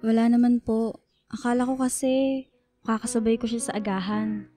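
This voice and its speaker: A woman's voice: fairly high pitch, steady tone, moderate pace, dark tone, faint music behind, close to an everyday voice. A woman playing a polite, resigned young student daughter in a radio drama, speaking in a subdued way. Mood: subdued, disappointed.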